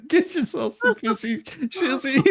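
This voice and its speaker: A woman hosting a casual comedic livestream chat, often laughing through her words. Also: very high voice. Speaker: livestream host.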